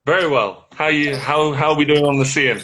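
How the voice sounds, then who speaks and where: A man's voice: a little high, fairly even intonation, fairly quick, bright, forceful tone. A man co-hosting a casual live-stream chat over a video call, easygoing and chatty, on slightly crackly audio. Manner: chatty, easygoing, British turns of phrase. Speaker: co-host.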